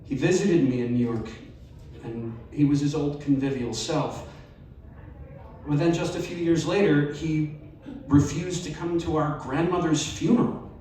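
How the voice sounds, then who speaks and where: Man, expressive live story reader, heavy room echo, picked up from afar. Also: a little high. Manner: measured, expressive storytelling with wry emphasis. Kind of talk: live reading of a personal essay. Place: live event venue. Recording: very echoey live room, distant mic.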